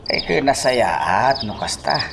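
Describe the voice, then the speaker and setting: A man playing a caring elderly father in a radio drama, with a gravelly voice and an Ilocano accent. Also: mid-range voice, animated, slow. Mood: concerned, approving. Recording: very noisy track with insect chirps.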